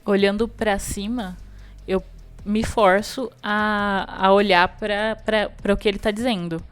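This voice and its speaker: Brazilian woman roleplaying, halting delivery. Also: medium pitch, steady tone, smooth, soft background music. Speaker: tabletop roleplay player. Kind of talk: first-person roleplay narration.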